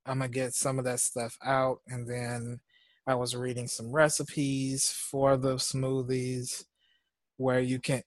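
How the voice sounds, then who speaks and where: A man's voice: mid-range voice, no room sound. A man chatting casually as a co-host, rambling and laughing often, sounding congested, speaking. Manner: slow, drawn-out talk. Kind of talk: casual chat.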